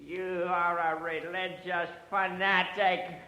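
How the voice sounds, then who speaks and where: An actor playing a sinister, mocking church persecutor in a radio drama, speaking with slow, taunting menace. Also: male voice, high-pitched, flat delivery, sharp tone, full character voice. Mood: scornful. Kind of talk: old radio drama acting. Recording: dull recording in a long echoing hall.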